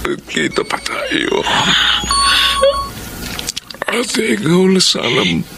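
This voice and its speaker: Dying, creaky-voiced brother. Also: male voice, high voice, very animated, very noisy, heavily acted. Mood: weak, pleading. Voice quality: creaky, breathy, failing voice. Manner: halting, labored, pleading. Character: dying brother, weak, tender, resigned father. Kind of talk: Filipino radio drama acting. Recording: music under the voice.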